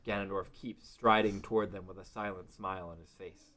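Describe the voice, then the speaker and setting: A man's voice: sits low, steady tone, fairly quick, a bit bright. A man narrating a scripted fantasy story as the narrator of a table read.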